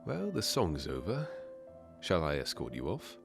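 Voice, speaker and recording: A man's voice: very deep voice, huge pitch swings, a little slow, creaky, music in the background, heavily acted. A man playing a charming, teasing masked nobleman in a romantic ASMR fairytale roleplay, suave and playful with courtly phrasing. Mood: gentle, wistful.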